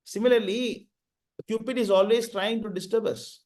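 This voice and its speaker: A man with a somewhat high voice leads an online session. He talks gently and encouragingly, and he repeats his main points so they sink in. It sounds like a video call. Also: steady tone, normal speed. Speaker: devotee counselor leading the session. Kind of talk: casual spiritual advice on a call.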